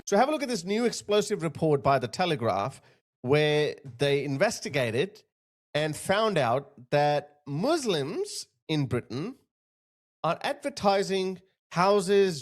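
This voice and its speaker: A man's voice: big pitch swings, measured pace. A man from Pakistan giving emphatic, sarcastic opinion commentary. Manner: emphatic, sarcastic, big pitch swings.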